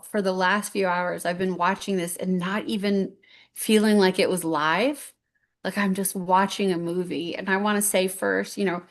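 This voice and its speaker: A woman in her fifties speaks with a somewhat low voice, earnest and rambling. She tells a personal story on stage and often restarts her sentences. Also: a little mellow. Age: 50s. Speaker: guest speaker.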